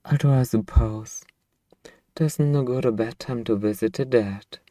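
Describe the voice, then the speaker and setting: A man playing a centuries-old vampire master and possessive, tender boyfriend in an intimate ASMR roleplay, speaking softly and wistfully with lingering phrasing. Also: medium pitch, no echo, natural voice. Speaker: ASMR voice actor.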